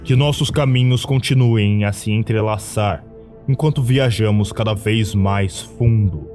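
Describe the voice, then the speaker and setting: A male narrator plays a solemn cult priest addressing the faithful of ancient gods. The voice is low and very slow, with grave, ceremonial phrasing drawn out like a ritual. Music plays clearly behind it. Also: darkish tone, natural voice. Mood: solemn reverence. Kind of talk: scripted ritual address in horror podcast.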